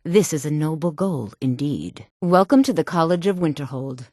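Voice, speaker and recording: Low, stately sorceress. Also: female voice, sing-song, mellow, dry recording, studio quality. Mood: stately, assured. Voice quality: sultry. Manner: formal, measured, lofty. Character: proud, composed female senior wizard guarding a college gate. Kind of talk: fantasy video game voice acting.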